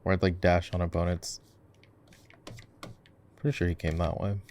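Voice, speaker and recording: A man's voice: deep voice, flat delivery, normal speed, a little mellow, slight background noise, natural voice. A man voicing an easygoing gamer boyfriend in a casual, chatty roleplay, rambling playfully and interrupting himself as he explains. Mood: casual, explaining. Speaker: voice actor, roleplay performer. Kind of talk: casual ASMR roleplay, chatty gaming commentary.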